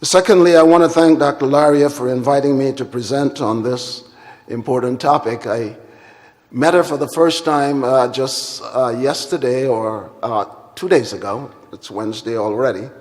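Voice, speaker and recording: A man from Guyana, giving a formal and deliberate plenary address at a conference. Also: medium pitch, darkish tone. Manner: measured, deliberate and formal, with long pauses. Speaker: conference speaker. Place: large conference hall. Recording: hall reverb.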